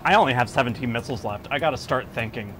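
A man's voice: mid-range voice, fast, music in the background. Casual man commentating on a game. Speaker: gamer recording a playthrough. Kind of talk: casual light gameplay commentary.

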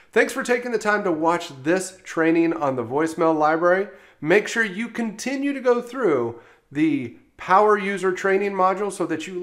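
A man working as a software trainer, narrating an instructional screencast in an explanatory, step-by-step way. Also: a little high.

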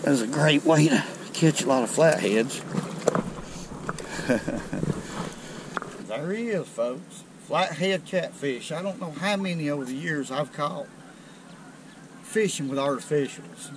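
A man's voice: a little high, slow pace, pauses often, background noise. Folksy man fishing by a dam. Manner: folksy, excited, talks to viewers as folks. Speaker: fishing video host. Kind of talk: casual outdoor commentary. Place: outdoors on a rocky riverbank below a dam. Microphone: chest-mounted action camera.

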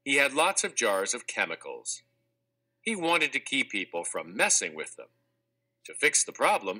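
A man narrating a children's textbook read-aloud in a clear, measured, expressive scripted delivery. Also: medium pitch. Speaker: audiobook narrator.

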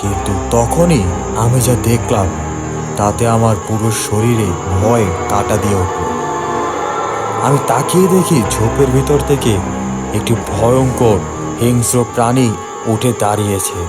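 A man narrating a scripted first-person horror story for an animated cartoon, in a dramatic storytelling manner. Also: medium pitch, measured pace, loud music behind the voice.

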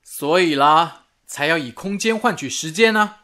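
Earnest wartime radio station man, high voice, steady, radio drama. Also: moderate pace. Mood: resolute.

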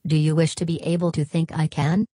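Low, husky, mellow chatbot read by a woman, deadpan and deflecting, dry close mic. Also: a little fast, no echo. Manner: flat, evasive, turns every question back. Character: literal-minded early therapist chatbot, female.

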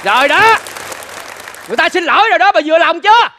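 A man's voice: sits high, big pitch swings, rapid-fire, sharp tone, some chatter behind, a little hiss or hum, exaggerated voice. A man playing a harried, placating husband and father of five in a stage comedy, stammering and repeating himself in a southern Vietnamese accent. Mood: exasperated, pleading.

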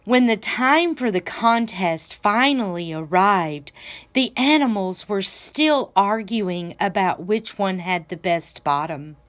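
Very slow-paced woman reading a storybook. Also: mid-range voice, lively intonation. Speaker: library director reading aloud to children. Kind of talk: children's storybook read-aloud.

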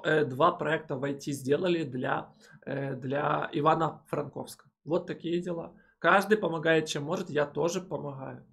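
A young man from Ukraine talks casually into a headset, running through viewer comments and his trades. His voice is gravelly and a little husky, pitched somewhat high for a man, and he speaks in animated, emphatic bursts with plenty of hesitation sounds. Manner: animated, emphatic, with frequent filler "э". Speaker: crypto channel host.

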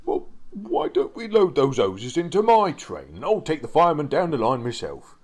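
A man voicing an eager, cheeky male steam engine in a children's story, chatty and excitable. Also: fairly high pitch, normal speed, voice pushed up.

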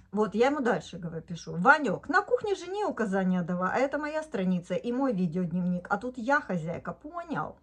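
A woman's voice: mid-range voice, breathy edge. A woman in her fifties from Odesa, talking in a casual, indignant video diary at home into a phone camera, breaking into laughter. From Odesa, Ukraine. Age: 50s. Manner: chatty and indignant, bursts into laughter. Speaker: vlogger. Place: living room at home, indoors. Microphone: phone camera at arm's length.

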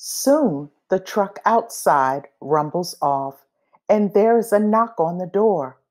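A woman reading a children's picture book aloud expressively, pausing between phrases and acting out the dialogue. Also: mid-range voice, expressive, darkish tone. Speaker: storybook reader.

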